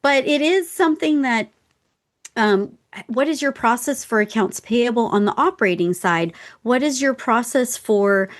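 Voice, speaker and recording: A woman's voice: fairly high pitch, expressive, a little slow. A woman co-hosting a casual podcast, explaining things as she thinks aloud. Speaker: podcast co-host.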